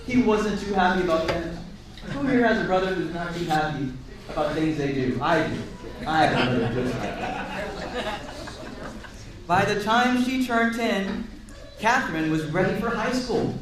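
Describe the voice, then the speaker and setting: A man reading a picture book aloud to children in an expressive, encouraging way, pausing to chat and ask them questions. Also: sits high, a crowd in the background. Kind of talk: animated read-aloud with asides to a young audience. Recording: echoey room, distant mic, audience sounds.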